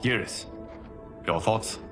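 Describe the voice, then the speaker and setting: A man's voice: slow pace, fairly bright tone. A man playing a solemn, wise leader in a video game cutscene, formal and authoritative. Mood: grave. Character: solemn male leader, a wise commanding guardian. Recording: music behind dialogue.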